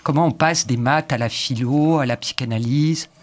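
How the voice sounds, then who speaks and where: A man hosting a radio interview, courteous, prompting his guest with quick summaries. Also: mid-range voice. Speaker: radio host.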